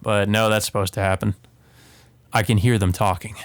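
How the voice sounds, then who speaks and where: A man's voice: on the low side, little pitch movement, normal speed, dry recording. A man hosting a let's play, reading visual novel narration aloud and adding casual asides, with game music behind him.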